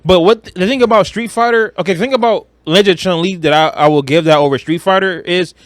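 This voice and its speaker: High-voiced man chatting bluntly and slangily. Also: fast, dry recording. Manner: blunt, animated, slangy, profane. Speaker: podcast co-host. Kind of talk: casual chat about films.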